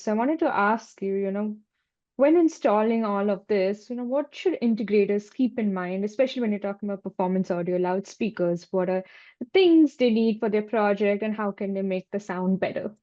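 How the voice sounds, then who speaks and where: A woman with a soft, dark tone, heard through slightly muffled, lo-fi audio. She interviews in a chatty, conversational way, affirming the answers before leading into her next question. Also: mid-range voice.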